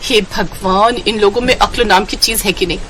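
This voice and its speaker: A woman's voice: mid-range voice, steady tone, moderate pace, background noise, natural voice. Woman voicing a whiny, lazy young bride. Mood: exasperated, whiny. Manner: complaining, exclaiming, talks to herself. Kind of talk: Hindi moral-story cartoon voice acting.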